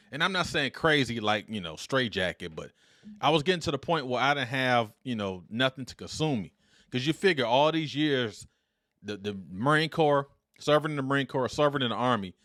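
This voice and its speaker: A middle-aged man hosting his own show with a big, confident voice. He talks in an upbeat, deliberate way and speaks straight into a close dynamic mic. The room adds nothing, so the sound stays dry and close. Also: medium pitch, sharp tone, dry recording. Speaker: podcast host. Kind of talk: solo podcast monologue. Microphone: dynamic studio mic.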